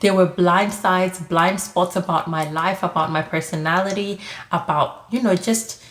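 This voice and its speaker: A woman's voice: fairly low pitch, steady tone, a little slow, fairly bright tone. A woman, giving chatty advice in a casual monologue, full of "like" and "you know". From Zimbabwe. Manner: chatty, frequent "like" and "you know", light laughs. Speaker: vlogger giving immigrant advice. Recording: echoey room.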